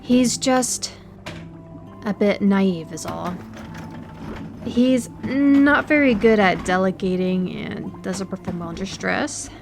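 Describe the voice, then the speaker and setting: A woman in an audio roleplay playing an educated, politely condescending aquarium worker who speaks in a measured, precise way. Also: medium pitch, creaky, background noise. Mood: condescending reassurance. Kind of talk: audio roleplay acting, one-sided conversation.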